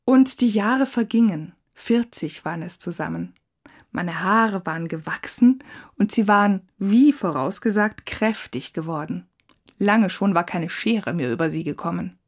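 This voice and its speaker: A woman reading a first-person literary narration in a measured, reflective manner. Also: medium pitch, animated.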